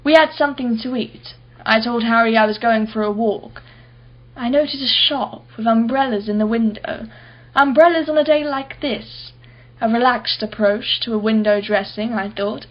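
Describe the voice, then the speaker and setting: A woman's voice: on the high side, moderate pace, lo-fi sound, natural voice. An actress playing an imperious, wealthy businesswoman in a radio play, guarded and impatient, speaking in a clipped, formal way. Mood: wistful, reflective.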